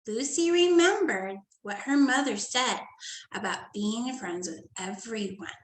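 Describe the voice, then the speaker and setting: A woman teacher reading a storybook aloud to first graders in a sing-song, animated way, often dropping to soft whispered asides. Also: a little high, a little slow. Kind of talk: storybook read-aloud lesson for young children. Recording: echoey room.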